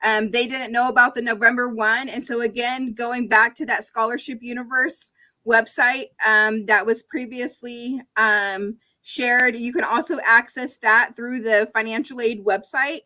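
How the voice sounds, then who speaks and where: A woman's voice: a little high, steady tone, unhurried, sharp tone, poor audio. A woman moderating an online information session, reading out audience questions with frequent ums. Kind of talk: webinar moderation.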